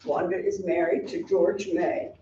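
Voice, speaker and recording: A woman presenting an award at a town meeting, reading prepared remarks in a measured, formal way. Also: fairly low pitch, level tone, a crowd in the background. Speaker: hall of fame president presenting an award. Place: town hall meeting room. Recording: echoey hall, distant, dull sound.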